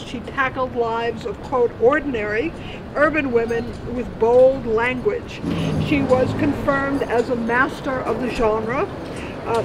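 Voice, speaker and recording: Older female tour guide, high-pitched, outdoors, headset mic, traffic noise behind. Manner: informative, addressing a group. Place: city street outdoors. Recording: loud city street noise.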